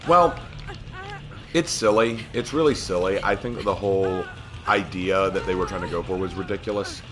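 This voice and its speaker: A man with a slightly hoarse voice, casually chatting and reacting as he streams a game. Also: low voice, moderate pace. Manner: talkative, opinionated, laughs at the game. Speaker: livestreamer. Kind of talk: casual gameplay commentary.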